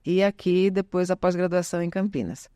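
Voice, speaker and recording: A woman's voice: lowish voice, fairly quick, dark tone, clean tone, dry recording, clean studio sound. An Italian-born middle-aged woman whose first language is Italian, chatting in a casual podcast interview, rambling and correcting herself often. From Italy. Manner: rambling, self-correcting, frequent "né?". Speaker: podcast guest.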